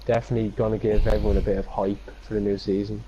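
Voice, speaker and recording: A man's voice: fairly low pitch, level tone, racing, warm tone. An Irish man co-hosting a podcast with casual banter, heard through a dull, slightly noisy microphone. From Ireland. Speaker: podcast co-host. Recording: muffled dull mic with background noise.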